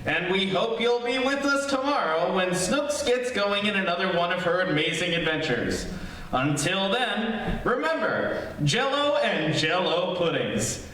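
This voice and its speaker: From a distance in an echoey hall, an upbeat announcer in 1940s radio style, played by a man. His high voice is showy and animated, built for sponsor plugs. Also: measured pace, a little hiss or hum.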